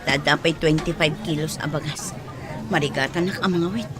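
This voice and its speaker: A woman playing an older, motherly but sharp-tongued villager in a radio drama, with an Ilocano accent. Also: deep voice, normal speed, a crowd in the background, noisy background, natural voice. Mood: weary, grateful.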